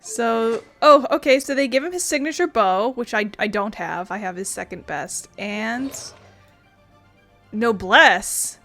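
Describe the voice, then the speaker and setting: A woman's voice: fairly high pitch, unhurried, pushed and bright, soft background music. A woman giving casual let's-play commentary, thinking aloud in an informal way as she plays. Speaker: gaming video creator.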